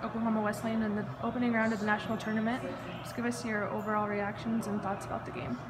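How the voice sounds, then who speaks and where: A woman interviewer asking a coach quick, plainly worded post-game questions. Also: fairly high pitch, little pitch movement, heavy background noise.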